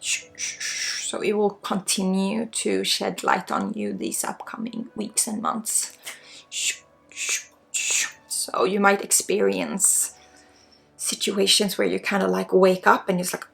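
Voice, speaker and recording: A woman from Sweden with a Swedish accent guides a spiritual healing ASMR session in a soft, breathy, informal and halting way, often dropping toward a whisper. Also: medium pitch, animated. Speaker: spiritual guide, energy healer. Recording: soft music under the voice. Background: soft music.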